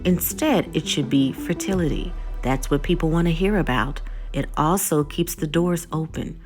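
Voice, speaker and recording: A woman's voice: low voice, normal speed, over music. A woman narrating a scripted episode recap as the host of a video channel.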